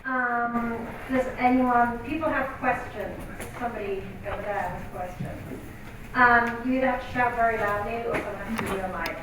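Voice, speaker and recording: A woman moderating a panel discussion in a conversational, brisk way. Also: a little high. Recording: echoey hall, distant mic, background noise.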